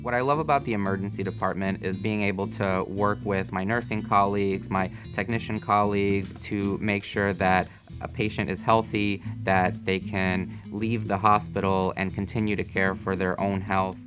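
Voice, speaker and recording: A man in his thirties speaks in a calm, measured way, pausing now and then on small fillers. His voice sits low and stays fairly level. Plucked guitar music plays clearly beneath him. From United States (Miami, Florida). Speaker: emergency physician. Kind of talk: interview testimonial.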